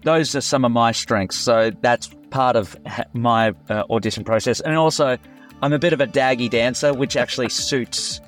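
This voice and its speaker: A man's voice: medium pitch, moderate pace, faint music behind. A man answering interview questions in a chatty, self-deprecating way, with fillers. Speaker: tribute performer and former music teacher.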